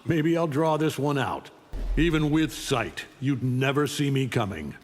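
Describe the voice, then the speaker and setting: Middle-aged superhuman tyrant for a fighting game: condescending, menacing, ringing in a big reverberant space. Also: male voice, medium pitch, animated, unhurried. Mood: cold, domineering. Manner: imperious, threatening, condescending. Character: arrogant, menacing middle-aged alien superhuman conqueror in a cape. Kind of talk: video game fight-intro dialogue. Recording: long hall-like reverb.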